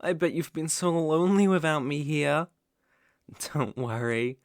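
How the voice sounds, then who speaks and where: ASMR roleplay: male actor for a possessive British yandere captor boyfriend, unhurried, mid-pitched, coaxing yet threatening, intimately dry recording. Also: dry recording. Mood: doting, controlling. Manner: slow, cooing endearments turning to controlled threats.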